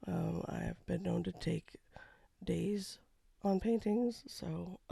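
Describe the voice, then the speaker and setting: An artist talking casually over a painting time-lapse, with a froggy voice from a cold and a low-key, rambling manner. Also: extremely slow, vocal fry, mellow, husky, no room sound. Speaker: watercolor artist. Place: home studio.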